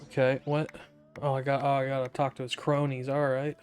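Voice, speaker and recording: A man's voice: medium pitch, completely flat delivery, a little fast, darkish tone, clean tone. A man giving casual, sceptical commentary as he plays through a video game, with wry asides. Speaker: gaming streamer.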